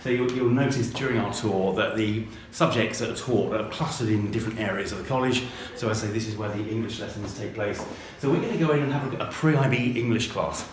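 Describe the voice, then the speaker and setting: A man leading a walking tour through school buildings, talking in a relaxed way with fillers and repeats. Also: fairly low pitch, brisk, few pauses, background noise. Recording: roomy, mic at a distance.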